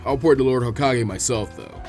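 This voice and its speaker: A sardonic grown ninja teacher, acted by a male reader for a story, dropped lower in pitch. He sounds weary, gruff and dry, over background music. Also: fairly quick, darkish tone, close to an everyday voice. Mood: laid-back.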